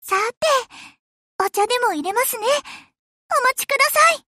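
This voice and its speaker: A woman's voice: very high-pitched, animated, measured pace, noisy background. A woman voicing a polite, earnest little warship girl in a Japanese anime game, with a childlike, sweet voice and a dutiful, slightly hesitant manner. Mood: gentle, earnest.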